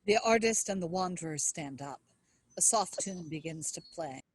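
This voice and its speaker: A woman narrator with a husky voice reading stage directions as scripted narration. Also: lowish voice, expressive, moderate pace, dry recording.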